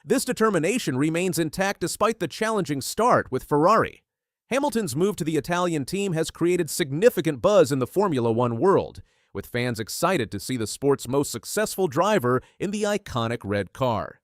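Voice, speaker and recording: A man's voice: fairly high pitch, sing-song, normal speed, dry recording, clean studio sound. A man reading scripted news narration as a narrator.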